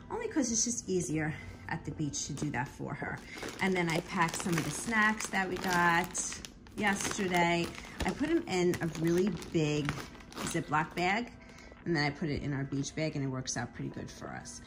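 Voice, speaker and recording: A woman, chatting brightly to camera as a family vlogger, filmed on a handheld phone in her kitchen and car. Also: lowish voice. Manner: bubbly, chatty, addresses viewers directly. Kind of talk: casual vlog chat to camera.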